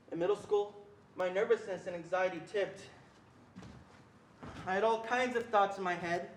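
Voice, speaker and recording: A man's voice: sits high, a little mellow. A young man playing an anxious, self-doubting boy looking back on school in a reader's theatre performance. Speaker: student performer. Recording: echoey, distant mic, faint noise.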